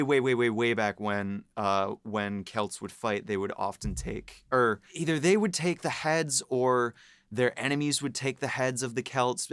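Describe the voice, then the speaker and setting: Smooth-voiced man in casual podcast chat. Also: on the low side, dry recording, studio quality. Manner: animated, lots of fillers, laughs while explaining. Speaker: writer and podcast co-host. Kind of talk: casual behind-the-scenes chat.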